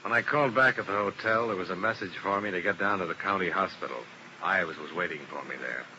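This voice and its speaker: A man's voice: deep voice, quick pace, pushed and bright, muffled recording. A man playing a tough, world-weary insurance investigator in 1950s radio detective style, clipped and terse. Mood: grim, matter-of-fact. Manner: clipped, hard-boiled, terse. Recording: noisy old radio broadcast recording.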